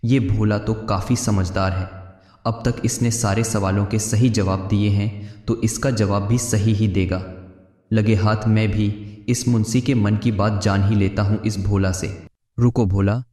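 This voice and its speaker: A man's voice: on the low side, little pitch movement, unhurried, mellow, faint music behind, natural voice. A man playing a calculating, commanding onlooker in a children's cartoon, curious and in control. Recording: echoey hall-like reverb.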